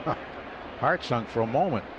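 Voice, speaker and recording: A man giving relaxed, chuckling color commentary on a baseball radio broadcast. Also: mid-range voice, normal speed. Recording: muffled radio broadcast with noise.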